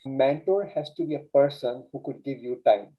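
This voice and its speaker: A man's voice: medium pitch, moderate pace, slightly rough. Earnest man with an Urdu first language. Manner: earnest storytelling with many restarts. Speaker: LinkedIn coach, guest. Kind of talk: casual interview chat. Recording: dull lo-fi sound.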